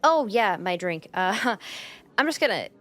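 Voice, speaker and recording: A woman's voice: medium pitch, big pitch swings, unhurried, fairly bright tone. Shy, flustered young woman, acted by a woman. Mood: flustered, grateful. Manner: hesitant, self-conscious rambling with nervous giggles. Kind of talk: roleplay ASMR monologue spoken to the listener.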